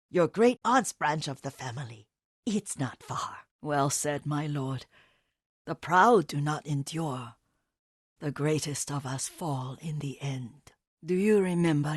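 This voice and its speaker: An elderly Japanese woman, a devoted family caretaker in a dramatic video game. Her soft, husky voice moves slowly and gently, deferential and steeped in nostalgia. The recording is close and dry. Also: low-pitched, dry recording. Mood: fond, nostalgic. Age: older. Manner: gentle, deferential, unhurried, reminiscing.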